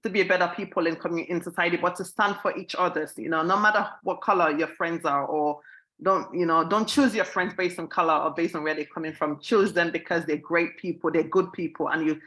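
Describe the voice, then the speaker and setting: Woman from Sierra Leone, panel speaker, bright and forceful tone, lowish voice, earnest. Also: fairly even intonation, a little fast, poor audio. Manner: earnest, repeats herself, rising emphasis. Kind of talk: panel discussion answer.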